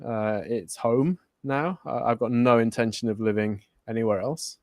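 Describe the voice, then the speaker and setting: A man's voice: medium pitch, normal speed, a little mellow, no room sound. Easygoing man co-host, dry close sound. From born in Germany, raised in Spain. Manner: laughs often, self-correcting. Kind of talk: casual chat.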